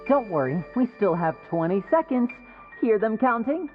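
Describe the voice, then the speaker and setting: A woman's voice: a little high, sing-song, normal speed. Muffled, polished young male magician. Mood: calm, fussy. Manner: polite, composed, theatrical. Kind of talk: video game voice acting. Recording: muffled, music behind.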